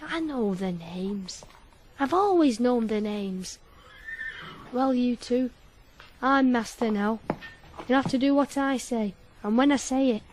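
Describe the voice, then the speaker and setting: A man's voice: extremely high pitch, slow, long pauses, slight background noise, rough recording. A teenage male actor playing a headstrong, lonely farm lad in a radio drama, intense and stubborn. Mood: brooding, resolute. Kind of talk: 1980s radio drama acting.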